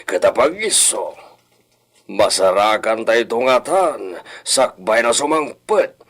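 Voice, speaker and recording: A man playing a proud, wealthy older hacienda master in a radio drama, husky and theatrical, sprinkling in Spanish words. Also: fairly low pitch, lively intonation, slow pace, fairly bright tone, exaggerated voice. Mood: scheming, determined.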